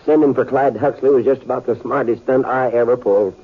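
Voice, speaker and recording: An actor playing a cocky, excitable small-town western doctor with a folksy manner, in old-time radio style. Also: male voice, medium pitch, quick pace, slightly rough, close to an everyday voice. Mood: smug, matter-of-fact. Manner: folksy, animated. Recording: tinny, noisy old radio recording.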